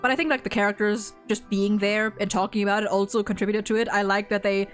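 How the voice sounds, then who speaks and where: A woman hosting a stream, chatting casually and gushing in an animated, blunt, sweary way. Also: medium pitch, fast, faint music behind. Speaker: host of a game ranking stream.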